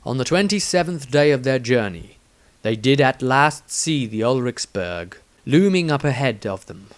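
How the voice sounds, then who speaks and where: A man reading a fantasy story as a dramatic audiobook narrator, with theatrical, deliberate emphasis. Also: medium pitch, lively intonation.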